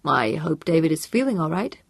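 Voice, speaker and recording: A suave, calculating man speaks politely and casually, keeping his guard up, in a woman narrator's own low voice. The tone is slightly husky and recorded dry and close. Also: very animated, brisk, dry recording, exaggerated voice. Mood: smooth.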